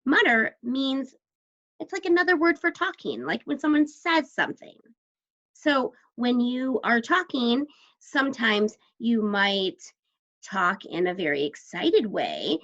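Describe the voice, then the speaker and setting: A woman teaching young children, asking questions and explaining words in simple, deliberate terms. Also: a little high, animated, a bit bright, dull audio. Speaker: teacher guiding young children through a story.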